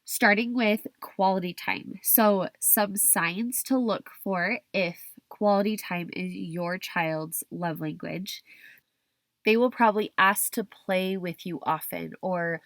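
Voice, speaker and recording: A young woman hosting her own podcast while stuffy and hoarse from a cold, talking in a chatty way with frequent restarts. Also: mid-range voice, slow, dry recording. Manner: chatty, restarts and hedges with kind of. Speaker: podcast host. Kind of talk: solo podcast talk.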